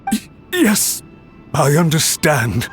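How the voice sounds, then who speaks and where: A man's voice: fairly high pitch, very animated, takes it slowly. An actor playing a humbled, anxious male official in a video game, pleading with formal, obsequious politeness. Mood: nervous, submissive. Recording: background music under the voice.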